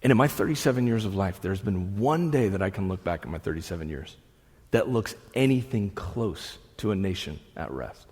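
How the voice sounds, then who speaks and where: A man in his thirties, a pastor preaching a sermon to a room, conversational with asides, swinging from loud bursts to hushed lines. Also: deep voice, expressive, moderate pace, slightly rough. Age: 30s. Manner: conversational with frequent restarts and asides. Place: church hall with an audience. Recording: long reverb of a large hall.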